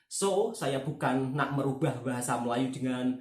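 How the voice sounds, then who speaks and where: A man's voice: medium pitch, normal speed. A man from Java with a Javanese accent, hosting his channel and chatting earnestly with a friend, often asking for agreement. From Java, Indonesia. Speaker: channel host. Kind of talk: casual chat with a friend. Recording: echoey room.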